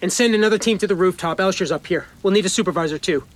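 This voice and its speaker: A woman playing an urgent, appalled police partner in an audio drama, brisk and forceful as she gives orders. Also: lowish voice, lightly acted. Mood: brisk, commanding.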